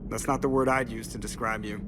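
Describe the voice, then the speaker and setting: An actor playing a cheeky, excitable starship pilot in a video game, joking and sarcastic with a creaky voice. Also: male voice, on the low side, a little fast, noisy background, close to an everyday voice. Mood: flirty, teasing. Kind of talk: video game dialogue acting. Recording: clear ship ambience behind voice.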